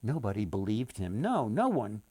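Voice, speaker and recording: An older man reading a children's story expressively, with dramatic pauses, addressing his listeners directly. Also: lowish voice, big pitch swings, warm tone, husky, no room sound, clean studio sound. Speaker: author and storyteller.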